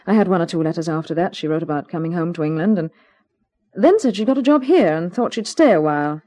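A woman's voice: on the low side, expressive, fast, warm tone, close to an everyday voice. An actress playing an anxious, well-bred Englishwoman in a 1950s radio mystery, speaking politely and earnestly with some hesitancy. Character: anxious, well-bred Englishwoman, glamorous client seeking help.

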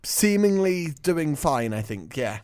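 A man with a fairly high voice answers questions as a radio sidekick. He is deadpan and understated, in casual banter. The sound is very dry. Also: slow pace, clean tone, no room sound.

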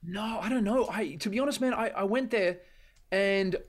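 A young man, a guest musician, chatting casually in a radio interview with quick restarts. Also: high voice. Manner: fast, easygoing, restarts.

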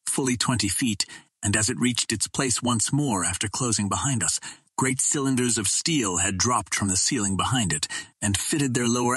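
A man reading a classic adventure novel aloud as scripted narration, in a measured, formal storytelling manner. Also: on the low side, a bit bright, husky, dry recording. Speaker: audiobook reader.

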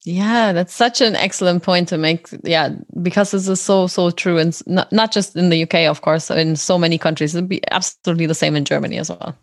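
Lower-voiced woman, agreeable. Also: fairly quick, hardly any pauses, no echo, studio quality. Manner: agreeable, adds points thoughtfully. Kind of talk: casual conversation.

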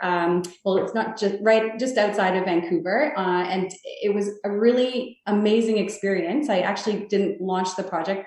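A woman's voice: medium pitch, a little mellow. Woman, 30s, earnest rambling podcast guest, far from the mic in a very echoey room. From Canada (Toronto). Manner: earnest, halting, rambling answers. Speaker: real estate sales guest. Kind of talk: podcast interview answers.

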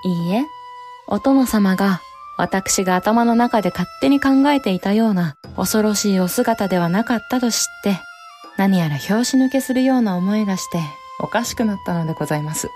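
A woman's voice: medium pitch, moderate pace, a little mellow, soft background music, natural voice. A woman playing a spirited, forthright young samurai bride in a Japanese period audio drama, candid yet politely archaic in speech. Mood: amused, teasing.

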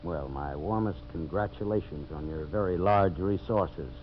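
An American man acting a gruff, sardonic, embattled businessman in a 1950s radio drama, curt and dry. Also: low voice, normal speed, close to an everyday voice. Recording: muffled, very hissy vintage radio recording.

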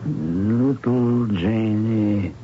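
Casting: a frail, muddled elderly doctor, halting and extremely slow, low-voiced, for old-time radio drama. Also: male voice. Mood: wistful, muddled. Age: older. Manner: halting, repetitive, confused. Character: frail, confused old doctor recovering from a stroke. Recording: dull, hissy old radio broadcast.

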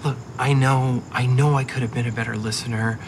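A man playing a guilty, flustered ex-boyfriend in a naturalistic audio drama, halting and apologetic as he pleads. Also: medium pitch, fairly even intonation, noisy background, close to an everyday voice. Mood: pleading, agitated.

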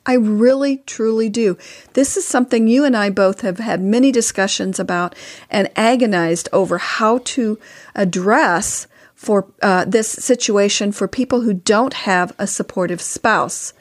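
An older woman talks casually as a podcast guest, sharing advice. Her voice sits at an average pitch for a woman. She comes across as earnest and emphatic, stressing what she believes. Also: measured pace. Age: older, past sixty-four. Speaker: podcast guest, author and co-host spouse.

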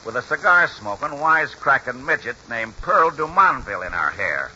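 An actor playing a folksy, exasperated old ball-club trainer in a 1940s radio drama, with slangy, ungrammatical talk. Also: male voice, medium pitch, sing-song, normal speed, pushed and bright. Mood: rueful storytelling. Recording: muffled, hissy 1940s radio recording.

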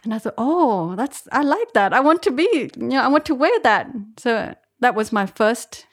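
A woman's voice: a little high, very animated, normal speed. A woman who was born in Vietnam and grew up in Australia, a Buddhist nun telling her own story reflectively as a guest, often searching for words. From born in Vietnam, grew up in Australia from age eight. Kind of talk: reflective personal storytelling in an interview.

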